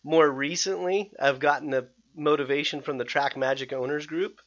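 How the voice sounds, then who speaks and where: A man hosting a podcast, chatting casually in an excitable, rambling way with many restarts. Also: fairly high pitch, normal speed. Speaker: podcast host. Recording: slightly dull lo-fi sound.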